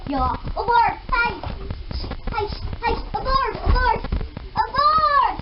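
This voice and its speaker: A woman's voice: extremely high pitch, big pitch swings, slow pace. A young girl, a little child, chatting casually with a sibling at home. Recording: muffled, very noisy home camera audio.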